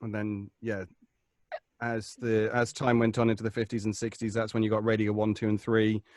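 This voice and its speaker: A man's voice: fairly low pitch, completely flat delivery, normal speed, a little mellow, no echo. A British man, a podcast voice actor, chatting casually in a group. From Britain.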